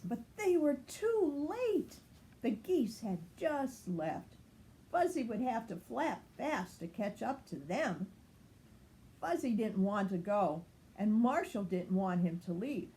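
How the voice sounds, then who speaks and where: A woman's voice: on the high side, sing-song, slow, warm tone, slight background noise. An older woman, a grandmother, reading a children's storybook aloud.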